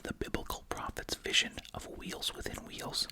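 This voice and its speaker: An ASMR host speaking in a breathy, unvoiced whisper close to the microphone while chewing gum, reading aloud and adding casual comments. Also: normal speed.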